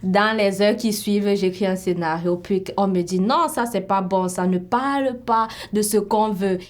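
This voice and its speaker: A woman's voice: medium pitch, normal speed. A woman speaking candidly and reflectively about writing a script, in a documentary interview.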